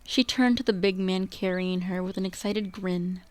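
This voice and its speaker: A woman's voice: fairly low pitch, fairly even intonation, normal speed. A woman reading scripted story narration for a radio drama. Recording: music behind the voice.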